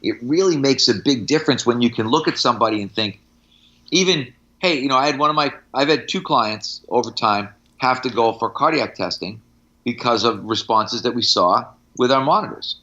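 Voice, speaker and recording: A man's voice: mid-range voice, expressive, normal speed, sharp tone, highs cut off. A middle-aged man, a strength coach, talking casually on a podcast in anecdotes and examples, with firm opinions.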